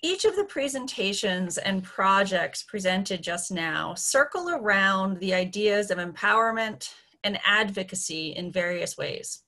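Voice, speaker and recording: A woman's voice: mid-range voice, expressive, unhurried, pushed and bright. A woman moderating an online panel discussion. She lays out the next steps in an orderly way. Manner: organized, guiding the panel through the steps.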